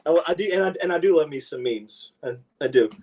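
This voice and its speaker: A man's voice: fairly high pitch, lively intonation, fast, a loud crowd. Young man a bit away from mic. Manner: laughs often, loose and enthusiastic. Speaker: wrestler guest. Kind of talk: casual interview chat. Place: rural home. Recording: distant mic.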